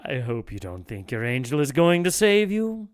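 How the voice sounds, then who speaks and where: An actor playing a gloating, condescending villain bishop in an audio drama, with a pompous, taunting delivery. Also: male voice, fairly high pitch. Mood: gloating, triumphant. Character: gloating male villain bishop plotting apocalyptic sacrifice.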